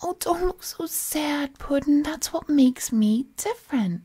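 Roleplay audio villainess, played by a woman: doting, menacing captor, slow and dark-toned, slangy, coaxing, breathy. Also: high-pitched, expressive. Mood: possessive devotion. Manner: coaxing, folksy slangy speech, drifting into breathy whispers. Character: unhinged possessive female supervillain kidnapper, sweetly menacing and doting. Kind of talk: roleplay audio acting, intimate monologue.

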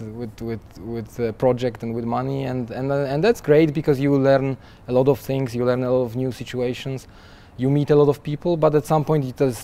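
A man's voice: medium pitch, mellow. A young man being interviewed at a conference booth, chatting freely with frequent restarts and repeated words and light laughs. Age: young adult. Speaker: guest software developer. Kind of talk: conversational interview answers.

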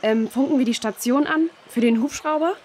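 A woman's voice: high-pitched, fairly quick, darkish tone, breathy edge. An actress playing an observant, questioning young girl adventurer in a children's audio drama. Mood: wary, questioning.

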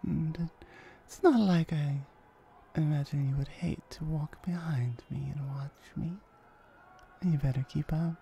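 Flirtatious female hellhound acted by a woman for ASMR roleplay: confident, unhurried, creaky, lingering whispers. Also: low-pitched, warm tone. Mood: reluctant, teasing. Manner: slow, suggestive, lingering, with breathy whispers. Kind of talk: roleplay ASMR audio drama.

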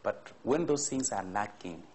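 Man, middle-aged, expert interview, office, deliberate, fillers, low pitch. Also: lively intonation, a little mellow, a little hiss or hum. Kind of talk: explanatory interview answers. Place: office indoors.

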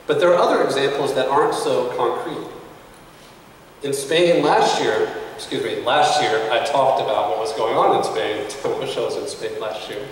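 A man in his thirties giving a prepared conference speech at a podium in a hotel conference room, in an earnest, measured way and pushing his pitch up to stress points. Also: a little hiss or hum. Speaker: conference speaker. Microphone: podium mic, recorded by a camera across the room. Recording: echoey hall picked up from far off.

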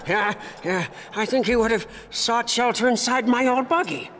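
An actor playing a manic, devout wasteland car mechanic in a video game, babbling and stammering with zealous reverence. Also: male voice, very high-pitched, measured pace, fairly bright tone, slight background noise. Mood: excitable, fussing. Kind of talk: theatrical video game character acting.

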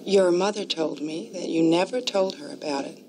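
A woman's voice: fairly low pitch, normal speed, mellow, a little hiss or hum, close to an everyday voice. A woman playing a stern, reproachful questioner.

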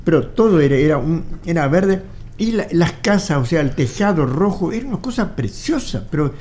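A man's voice: fairly high pitch, very animated, normal speed, darkish tone. A man in his eighties with an Argentine Spanish accent, a guest in a studio interview, telling his story animatedly and acting out old conversations. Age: 80s. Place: studio with wall photos. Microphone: studio mic on boom arm.

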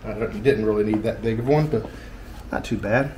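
A man's voice: on the low side, a little fast, darkish tone. A man in his fifties with an American accent, chatting casually and thinking aloud as he demonstrates DIY, in a folksy, joking way. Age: 50s. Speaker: vlog host. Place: small tiled living room indoors. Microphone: handheld action camera, varying distance. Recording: echoey room, clear background noise.

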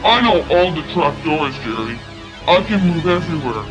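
Gloating, highish-voiced game villain. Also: male voice, sing-song, moderate pace. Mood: gloating, menacing. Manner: taunting, smug. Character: gloating, menacing male game villain, taunting hunter. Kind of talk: audio drama acting. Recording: loud music behind.

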